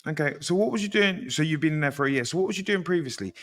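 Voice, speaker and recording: A man's voice: fairly high pitch, lively intonation, fast talker, in a large hall. A man with a British accent, interviewing in a casual, chatty way and often rephrasing his questions.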